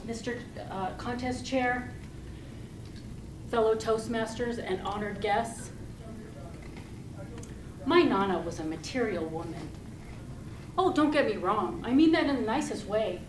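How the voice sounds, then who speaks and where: A woman giving a rehearsed storytelling speech to an audience, speaking deliberately and expressively with pauses. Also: a little high. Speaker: club speaker giving a tall tale speech. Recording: roomy, distant mic with loud noise.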